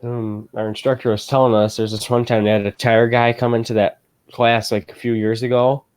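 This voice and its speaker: A man's voice: medium pitch, flat delivery, brisk, a little mellow, clean tone. A young man, a student in an ag class, talking casually as a livestream guest, with laid-back storytelling and short agreeing replies.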